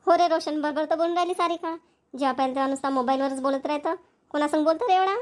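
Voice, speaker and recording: A woman plays a cartoon village mother who nags and scolds her children. Her voice is high and the words come very fast, in a rural Vidarbha Marathi accent. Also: lightly acted. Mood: nagging. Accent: Maharashtrian, Vidarbha Marathi. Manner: nagging, scolding. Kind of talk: cartoon family comedy dialogue.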